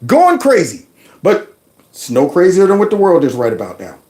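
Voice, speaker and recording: High-pitched guy hosting his own show, ranting and mocking, gets loud and worked up. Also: moderate pace. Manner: animated, mocking, loud outbursts. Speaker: host. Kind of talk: commentary vlog reacting to clips.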